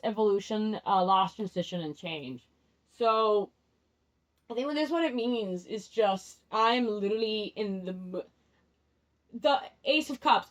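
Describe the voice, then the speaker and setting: A young woman whose first language is Spanish, doing a rambling, candid tarot reading, often restarting her sentences and laughing at herself. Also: medium pitch. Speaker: tarot vlogger. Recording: dull lo-fi sound.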